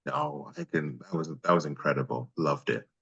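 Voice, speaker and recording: A man speaking conversationally as an interviewed voice actor, in a reflective, halting way with emphatic repeats. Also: rumbling low, creaky, a bit bright, breathy edge, highs cut off.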